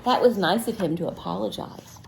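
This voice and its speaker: A woman's voice: medium pitch, brisk, vocal fry, low-quality recording. A woman reading a picture book aloud to children expressively, with simple questions and asides to young listeners.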